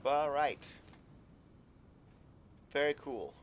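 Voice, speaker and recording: A man giving casual, halting live commentary on a game, musing aloud and trailing off into asides. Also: fairly high pitch, lively intonation, lo-fi sound, a little hiss or hum. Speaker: game streamer. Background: faint game music.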